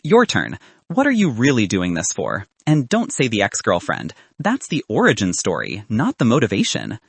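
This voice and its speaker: A man voicing a confident, teasing young woman, a sharp student founder in an audiobook, brisk and bantering. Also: on the low side, huge pitch swings, vocal fry, slightly rough, no echo, heavily acted. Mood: frank, probing.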